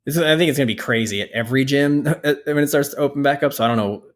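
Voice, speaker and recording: A man's voice: medium pitch, fast. Wry man in his thirties chatting. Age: 30s. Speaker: podcast co-host. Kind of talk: casual chat.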